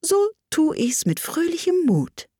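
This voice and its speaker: A woman's voice: sits high, big pitch swings, measured pace, dark tone, no room sound, clean studio sound, pitched above the performer's own voice. A woman voicing a cheerful, eccentric old father in a sing-song storybook verse recitation. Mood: jolly.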